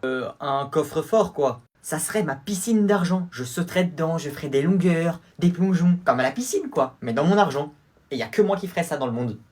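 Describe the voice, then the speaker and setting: A man's voice: a little high, expressive, brisk, darkish tone. A man playing a boastful rich young boy prince who brags in a smug, casual way in a comedy sketch.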